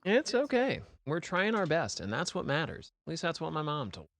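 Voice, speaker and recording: A man's voice: a little high, fairly quick. A man joking casually with friends while streaming a game. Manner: joking, animated.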